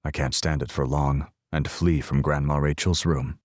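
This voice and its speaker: A man narrating an audiobook in the first person, measured and expressive. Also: extremely low pitch, no echo, clean studio sound.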